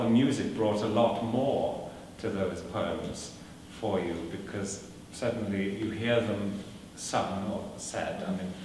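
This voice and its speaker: Welsh-first-language guy talking thoughtfully about his craft, lowish voice, echoey far-off hall, noisy. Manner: measured, thoughtful, with many fillers and restarts. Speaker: performer giving a talk. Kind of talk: lecture answering audience questions. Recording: echoey hall recorded from a distance.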